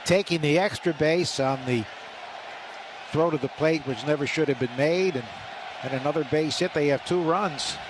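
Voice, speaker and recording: A man's voice: fairly high pitch, moderate pace, a crowd in the background. A man adding short comments on a live baseball radio broadcast. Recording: loud background ballpark noise. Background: loud ballpark ambience.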